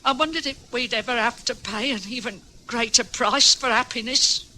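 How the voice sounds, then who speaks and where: A woman's voice: a little high, normal speed, sharp tone. A woman acting an anxious, loyal wife in a radio drama, pleading in a distressed, earnest way. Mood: distressed, sorrowful.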